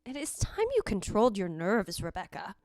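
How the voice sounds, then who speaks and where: An actress playing a stern, strained but composed elder sister in an old-time radio gothic drama, controlled and reproving. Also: female voice, medium pitch, sing-song, moderate pace, darkish tone, dry recording, clean studio sound. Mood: stern, guarded.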